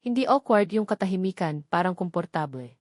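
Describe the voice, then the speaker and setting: A woman's voice: fairly low pitch, lively intonation, a little fast, no echo. Woman narrating a romance story, dry close sound. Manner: gentle, reflective storytelling.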